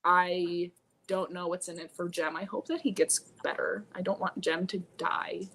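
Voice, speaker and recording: A woman's voice: on the low side, steady tone, normal speed, fairly bright tone. A woman chatting casually and informally as a guest host on a book discussion live stream, exclamatory and often feeling her way through thoughts. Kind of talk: casual chat. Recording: clearly noisy room ambience.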